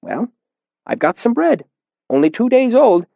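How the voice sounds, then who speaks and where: Brief: male narrator doing a kindly, tired old man, gentle repetitions, bouncy high delivery, dry booth. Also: sing-song, a little slow, creaky, dry recording, muffled recording, heavily acted. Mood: gentle, soothing. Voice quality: old and tired around the edges, patient at center. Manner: gentle, soothing, repeats words. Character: patient, tired old barefoot man caring for sick children. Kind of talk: audiobook character voice.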